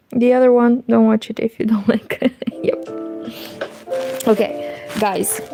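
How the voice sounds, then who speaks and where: A woman whose first language is Romanian, speaking English with a slight Russian accent, chatting casually and laughing while she crochets and reacts to videos. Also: fairly high pitch. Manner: relaxed, laughs often, slow and unhurried. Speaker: crochet vlogger and conference interpreter.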